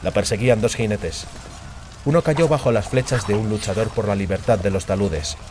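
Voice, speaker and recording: A man's voice: fairly low pitch, moderate pace, noisy background. A man giving a dramatic scripted narration of a fantasy audiobook.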